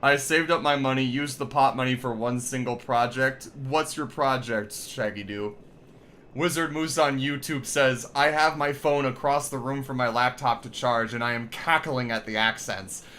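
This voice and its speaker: A man's voice: medium pitch, fairly even intonation, moderate pace, bright, forceful tone, a little hiss or hum. A young man streaming a game in casual chat, rambling and swearing, laughing often, reading chat aloud and playing with a put-on accent. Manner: playfully tries out a put-on accent.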